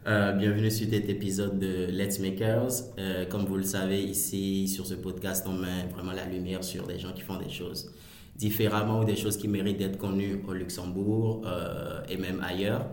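Host voice: man with a lowish voice, relaxed, many fillers, recorded in an echoey room. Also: moderate pace, a little hiss or hum. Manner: relaxed, hesitant with frequent fillers. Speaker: podcast host. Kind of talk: casual interview hosting.

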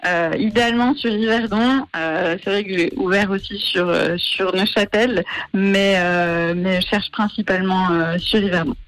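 A woman's voice: medium pitch, steady tone, moderate pace, rough recording. A woman from Marseille, a guest on a radio show, talking about her project with many hesitations and fillers. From Marseille, France. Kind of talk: radio interview.

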